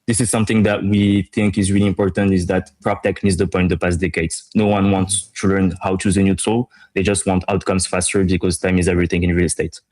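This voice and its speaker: A man from Morocco speaking as a startup co-founder in an interview, pitching his business conversationally with emphatic repetition. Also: little pitch movement, quick pace, hardly any pauses, a little mellow.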